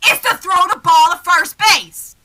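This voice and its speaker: A woman playing a flustered, exasperated comic man in an old-time radio comedy double act, with frantic, excitable delivery. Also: very high-pitched, heavily acted. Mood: frantic, shouting. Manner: frantic, excitable, fast back-and-forth delivery.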